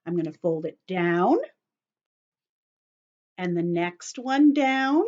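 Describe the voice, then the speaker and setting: A woman's voice: fairly low pitch, animated, slow pace, darkish tone, lo-fi sound. A woman giving young children a step-by-step craft lesson, explaining patiently in simple words with a sing-song delivery and frequent check-in questions, recorded on a computer microphone. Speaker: children's teacher hosting an online story time. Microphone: computer's built-in camera and microphone.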